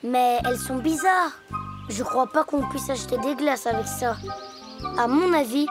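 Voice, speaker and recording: A woman's voice: sits high, measured pace, over music. A woman voicing a careful, sensible little boy hippo in a cartoon, practical and a bit worried. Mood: matter-of-fact. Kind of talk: cartoon voice acting.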